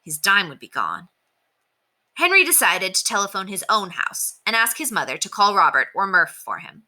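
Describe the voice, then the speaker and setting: Bright, forceful woman reading a storybook. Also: medium pitch, sing-song, normal speed. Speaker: read-aloud storyteller. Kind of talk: scripted storybook narration.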